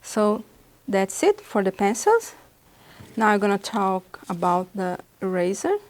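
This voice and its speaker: Slow-spoken Brazilian woman teaching drawing. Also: mid-range voice, big pitch swings. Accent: Brazilian Portuguese. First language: Portuguese. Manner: patient, halting explanations with pauses. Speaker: art instructor. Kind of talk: drawing lesson demonstration.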